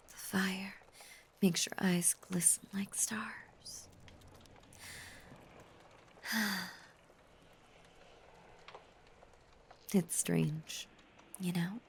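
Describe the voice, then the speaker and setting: A woman playing a possessive, obsessive female werewolf in an intimate audio roleplay, half-whispering with slow, seductive menace. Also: fairly low pitch, vocal fry, a little mellow. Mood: tender, possessive, then wistful, adoring. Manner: slow, lingering, seductive delivery.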